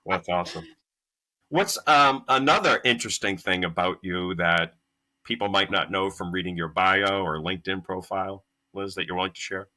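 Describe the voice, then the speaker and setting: A man's voice: sits low, normal speed, sharp tone, rough recording. A man hosting a podcast interview, asking loose, rambling questions full of fillers. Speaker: podcast host.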